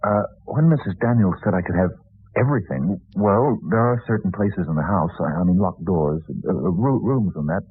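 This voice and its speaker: A man's voice: low voice, moderate pace, slightly rough, phone-quality sound. A man playing a timid, eager, naive fellow with a stammering, hesitant delivery in an old radio drama.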